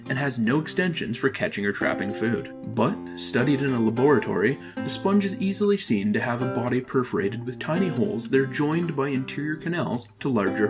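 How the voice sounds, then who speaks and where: A man reading scripted educational narration in a measured, formal, explanatory manner. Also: medium pitch.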